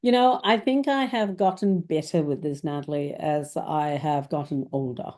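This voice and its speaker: Middle-aged woman from Malaysia, a low, mellow voice, thoughtful interview guest. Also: normal speed. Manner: thoughtful, tags points with "right?". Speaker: CIO guest. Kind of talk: reflective interview talk.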